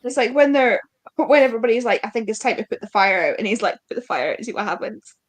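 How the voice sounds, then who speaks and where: A woman's voice: a little high, expressive, fast. A woman co-hosting an informal book discussion, chatting casually and acting out bits of dialogue as she retells. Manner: informal, acts out quoted dialogue. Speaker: book club live show co-host. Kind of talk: casual chat about books.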